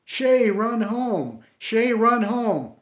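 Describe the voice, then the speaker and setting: An excited boy at a ballgame acted by a man storyteller calls out high and loud. The words are urgent and drawn out, ringing in a roomy space. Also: very slow, exaggerated voice. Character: excited boys yelling on a baseball field. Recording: roomy, echoey home recording.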